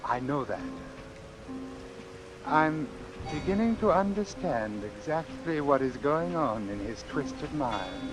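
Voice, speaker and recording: An actor playing an anxious, doomed man in a vintage radio suspense drama, speaking in a hesitant, measured way. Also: fairly high pitch, very animated, low-quality recording. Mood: resigned. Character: anxious doomed man who arranged his own killing. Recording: vintage radio recording with music and rain. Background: music and rain.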